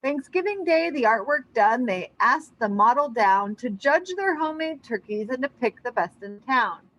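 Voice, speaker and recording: Animated woman reading aloud to children. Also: fairly high pitch, normal speed, no room sound, low-quality recording. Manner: expressive, addresses young listeners with asides.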